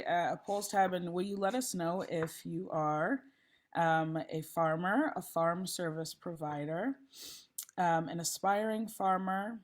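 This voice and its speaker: A woman facilitating an online meeting, a little hoarse, friendly and chatty with frequent ums, speaking through a laptop mic on a video call. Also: fairly low pitch. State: slightly hoarse. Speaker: session facilitator. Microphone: laptop mic, then headphones.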